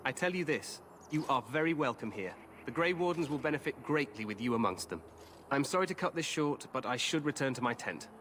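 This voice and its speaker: A man's voice: medium pitch, lively intonation, brisk, fairly bright tone, a little hiss or hum, close to an everyday voice. An actor playing an overconfident, glory-hungry young king in a fantasy video game, with a hearty, breezy, regal manner. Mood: gracious, amused. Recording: music behind the voice.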